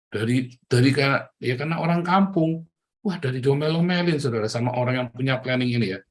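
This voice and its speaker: A middle-aged man from Tegal preaching in a chatty sermon style, with a Tegal Javanese accent, often addressing listeners as brothers and sisters. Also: mid-range voice. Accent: Tegal Javanese (ngapak). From Tegal, Central Java, Indonesia.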